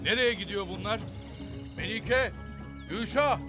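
A man voicing a grumpy, suspicious, easily panicked cartoon schemer, muttering and yelling dramatically. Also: high-pitched, unhurried, over music. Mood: suspicious, grumbling. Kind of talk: cartoon voice acting.

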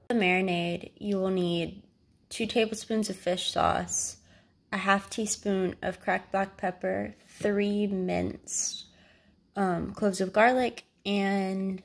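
A young woman from South Louisiana hosting a home cooking show, explaining each step calmly and then narrating some food history. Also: mid-range voice. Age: young adult. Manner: calm, instructional. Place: home kitchen, indoors. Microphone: camera mic, across the kitchen. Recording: echoey kitchen room sound.

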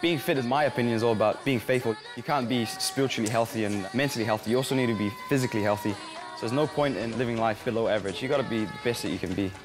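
A man's voice: mid-range voice, very fast. A man in his twenties from Samoa, talking casually to camera as a featured guest. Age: 20s. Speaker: featured guest, CrossFit athlete. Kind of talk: casual profile interview to camera. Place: gym, indoors. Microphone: lapel. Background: music.

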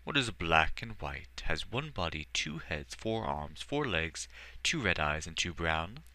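Narrator's riddling magic door, slow and solemn. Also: male voice, low-pitched, sing-song, pushed and bright, full character voice. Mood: solemn, aloof. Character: riddling magic talking door, male, aloof.

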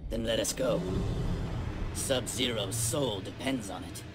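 An actor playing a stern, loyal ninja warrior who speaks in formal, terse, earnest sentences. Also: male voice, lowish voice, measured pace, loud music behind the voice, close to an everyday voice. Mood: earnest, urgent.

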